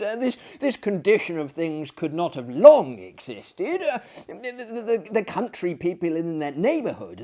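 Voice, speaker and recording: A man voicing a naive, proper young gentleman in a dramatic story, stammering and incredulous. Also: high voice, pitched above the performer's own voice, full character voice.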